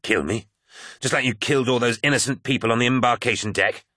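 A man voicing a defiant male poet, sardonic and deliberate as he answers back. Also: low-pitched, vocal fry, breathy edge, no room sound, studio quality, exaggerated voice. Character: defiant, bitter male poet, nervous but sharp-tongued.

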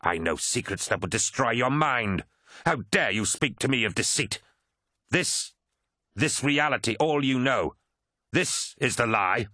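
A man's voice: low-pitched, a little fast, vocal fry, few pauses, no echo, full character voice. A smug warrior chaplain with a fanatical streak, performed by a male reader. The audiobook delivery is sly and intense, recorded with a very dry, close sound. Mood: fervent, indignant. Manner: smug calm that turns fervent. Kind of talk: dramatic audiobook character acting.

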